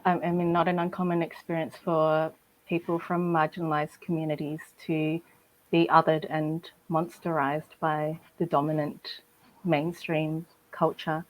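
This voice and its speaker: A woman from Malaysia speaking as a writer on a festival panel, thoughtful and hesitant, with many pauses and fillers. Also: low-pitched, little pitch movement, rough recording. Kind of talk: panel discussion.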